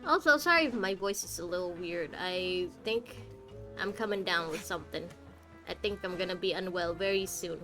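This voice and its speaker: A woman's voice: fairly low pitch, normal speed, pushed and bright. A woman streaming a video game with casual commentary, making wry asides and talking back to the characters. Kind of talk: casual live gameplay commentary. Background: game music.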